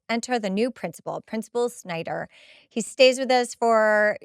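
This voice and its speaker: A woman hosting a casual TV rewatch podcast, talking in an animated, conversational way with incredulous asides. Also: a little high, dry recording, clean studio sound. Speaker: podcast host.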